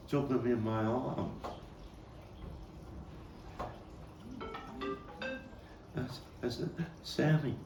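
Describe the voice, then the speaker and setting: A man performing a dramatic monologue as a Brooklyn man recalling a violent boyhood, halting and deliberate, with repeats and weighty pauses. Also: medium pitch, creaky, mellow. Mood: anguished. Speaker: actor and playwright reading his own monologue. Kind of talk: dramatic monologue at a table read. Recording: roomy, distant mic with faint background noise.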